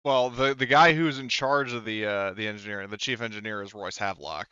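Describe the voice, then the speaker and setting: A man's voice: fairly low pitch, fairly quick, bright, forceful tone, smooth, dry recording. A man explaining things casually and a little hesitantly as game master of a tabletop game, on a slightly dull microphone. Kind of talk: casual out-of-character rules and story talk.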